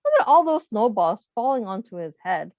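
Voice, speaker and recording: A woman reading a picture book aloud to young children in an expressive, teacherly way. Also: on the high side, moderate pace. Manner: expressive, asks the listeners questions. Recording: muffled, echoey room sound.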